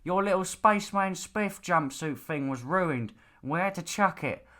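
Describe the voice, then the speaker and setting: A man's voice: high-pitched, lively intonation, normal speed, pushed and bright, voice pushed up. A man voicing a stern, exasperated, lecturing father in a fantasy audiobook. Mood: matter-of-fact. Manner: lecturing, bossy. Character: stern, nagging, exasperated father of a demon slayer. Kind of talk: audiobook character dialogue.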